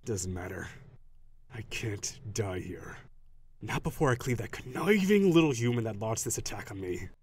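A man voicing a proud, gruff tsundere dragon warrior in an audio roleplay, brusque and defensive with grudging sighs. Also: lowish voice, animated, normal speed. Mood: indignant, defensive.

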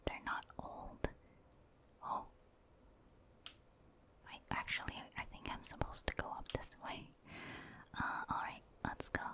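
Whispering woman doing gaming ASMR. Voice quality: breathy, voiceless whisper. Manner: gentle, casual, thinking aloud while playing. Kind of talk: whispered ASMR video game commentary.

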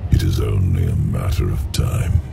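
An actor playing a mysterious, silkily sinister ancient demon in a game cutscene, speaking in a slow, smug, insinuating way. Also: male voice, extremely low pitch, level tone, gravelly, noisy background, heavily acted. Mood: ominous warning. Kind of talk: dramatic video game cutscene acting.

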